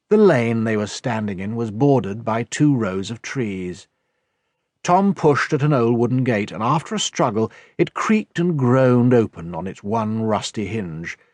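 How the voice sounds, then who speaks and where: A man's voice: mid-range voice, a little mellow, no room sound. A man with a British accent reading scripted narration from a children's novel as an audiobook narrator. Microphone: close, dry studio recording.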